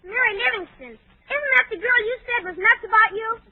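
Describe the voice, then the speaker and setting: A woman playing an excitable, naive young character in old-time radio comedy, simple and wide-eyed. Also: extremely high pitch, normal speed. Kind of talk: 1940s-50s radio comedy acting. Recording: very noisy, tinny old radio recording.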